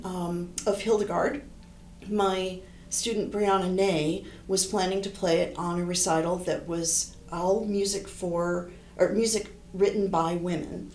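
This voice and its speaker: Low, gravelly woman in an echoey room. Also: a little mellow, slight background noise. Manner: thoughtful, rambling, frequent restarts. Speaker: horn player and composer. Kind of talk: relaxed interview talk.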